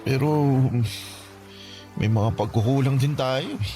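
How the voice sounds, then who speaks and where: A man's voice: mid-range voice, slow, music in the background, close to an everyday voice. An actor playing a grieving, self-blaming young man in an emotional radio drama, with a Filipino accent. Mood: guilty, regretful.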